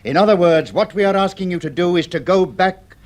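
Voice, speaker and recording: A man's voice: sits high, fairly quick, close to an everyday voice. A man playing a courteous, authoritative space project chief in a 1950s radio drama, speaking formally. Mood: grave.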